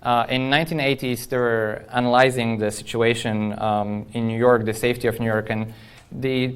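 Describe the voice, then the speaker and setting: Clear-voiced man giving a talk. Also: medium pitch. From Poland. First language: Polish. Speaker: conference speaker, software engineer. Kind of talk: informal technical conference talk.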